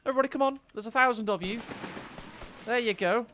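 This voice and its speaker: A man commentates a strategy game tournament live, and his voice sits noticeably high for a man. He keeps things jokey and chats with his viewers between plays. A faint background noise runs under the commentary. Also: fast, pushed and bright. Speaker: esports caster.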